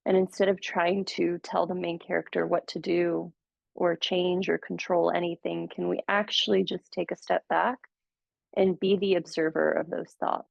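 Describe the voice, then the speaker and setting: A woman leads a guided meditation in a gentle, unhurried voice that sits a little low and carries a gravelly fry. The sound is dry and close, but somewhat dull and muffled. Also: level tone, no room sound. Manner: slow, gentle, soothing guidance with long pauses. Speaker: meditation teacher.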